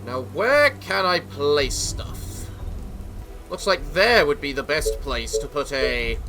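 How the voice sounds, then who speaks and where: A young English man giving casual let's-play commentary in a theatrical gentleman persona, a little sick, with a voice that sounds off. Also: a little high. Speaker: gaming YouTuber.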